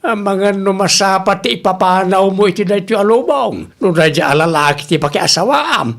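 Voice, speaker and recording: A man's voice: sits high, moderate pace, creaky, fairly bright tone. Stern father, acted by a man, Ilocano accent, nagging, admonishing, worried, audio drama. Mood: stern warning. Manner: scolding, admonishing. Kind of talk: amateur audio drama acting.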